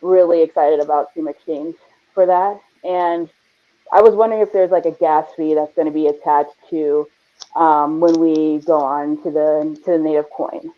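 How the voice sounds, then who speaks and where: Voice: medium pitch, steady tone, normal speed. Eager caller on a dull line. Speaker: caller from New York asking a question.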